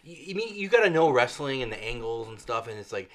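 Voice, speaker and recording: A man on a casual podcast with a bright, forward tone at an ordinary pitch. He talks emphatically, leaning on swearing and "dude.". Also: very animated, fast talker. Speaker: podcast co-host. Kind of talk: casual chat.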